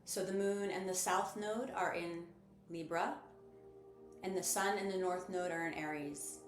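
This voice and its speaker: A woman leading a guided meditation as host, speaking in a measured, instructive and gently encouraging manner. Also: on the low side, steady tone. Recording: roomy echo with music behind. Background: music.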